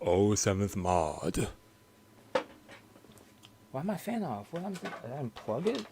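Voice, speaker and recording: A man's voice: medium pitch, huge pitch swings, slow, creaky, noisy background. A tired young man streaming from his bedroom, losing his voice and rambling casually with small laughs. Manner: tired, rambling, chuckling often. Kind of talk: casual stream sign-off chat.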